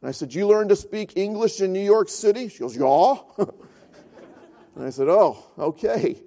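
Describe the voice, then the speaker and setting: A high-pitched man delivers a Sunday sermon. He tells a story with an earnest tone and drives his points home by repeating phrases. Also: animated, moderate pace, dark tone. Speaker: pastor.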